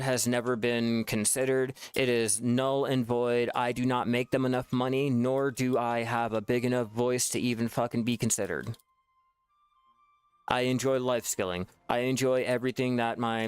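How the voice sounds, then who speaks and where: A man's voice: mid-range voice, clean tone, no echo. Profane, frustrated man ranting. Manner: frustrated, profane, repetitive restarts. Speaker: gaming video creator. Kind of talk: ranting gameplay commentary.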